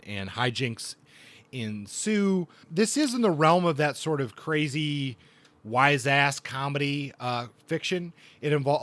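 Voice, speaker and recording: A man hosting a casual book review podcast, speaking in a thoughtful, halting way with repeated words. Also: medium pitch, a bit bright.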